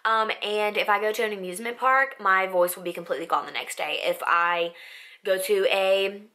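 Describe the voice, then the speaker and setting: A young woman with a raspy voice, chatting casually to camera in a vlog, full of "like" and "um". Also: medium pitch, normal speed, sharp tone. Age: young adult. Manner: chatty, filler-heavy with frequent "like" and "um". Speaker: vlogger. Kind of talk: casual facts-about-me vlog.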